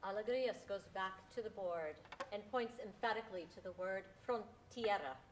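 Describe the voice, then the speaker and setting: A woman with a mid-pitched voice narrates a script in deliberate, measured phrases. There is a little background noise in the recording. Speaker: narrator reading stage directions.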